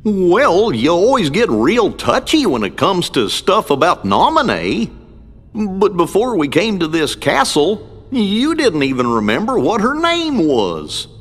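An actor voicing a gentle, goofy cartoon dog who drawls in a folksy way. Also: male voice, high voice, wildly swooping pitch, measured pace, big-room sound, full character voice. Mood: concerned. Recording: game cutscene audio with music.

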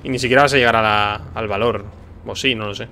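A man's voice: on the low side, steady tone, quick pace, sharp tone, a little hiss or hum. A man from giving casual gameplay commentary, musing aloud informally. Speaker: video game streamer. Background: video game sound.

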